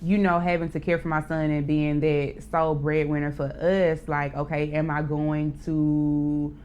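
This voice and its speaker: A young woman with a deep voice, chatting candidly as an interview guest, filling her talk with "like" and "um". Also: measured pace, slight background noise. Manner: relaxed, candid storytelling with frequent "like" and "um". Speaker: interview guest, poet and rapper. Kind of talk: casual interview chat.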